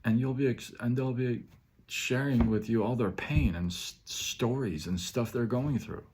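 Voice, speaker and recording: A man's voice: mid-range voice, measured pace, a little mellow, slight background noise. A man hosting a motivational live show, speaking emphatically with repeated phrases and an occasional stutter, greeting viewers by name. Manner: stutters at times.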